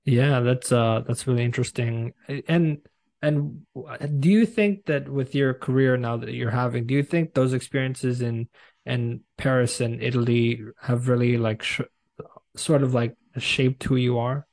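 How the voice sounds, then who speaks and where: A man hosting an interview podcast, speaking conversationally in a casual, somewhat halting way. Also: mid-range voice, steady tone, darkish tone.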